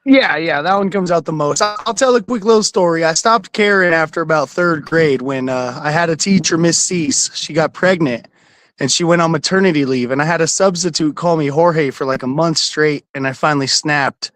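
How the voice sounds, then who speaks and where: A man talking on a casual sports podcast over a video call, laid-back and quick to laugh. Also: fairly high pitch, a bit bright. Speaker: podcast guest, regular of the network.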